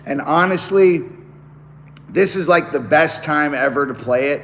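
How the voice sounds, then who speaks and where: A man with a hoarse, worn-out voice, chatting excitedly to viewers on a live stream. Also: a little high, measured pace, big-room sound, slight background noise. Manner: excited, talks straight to viewers. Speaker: musician hosting a live stream. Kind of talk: casual live-stream chat.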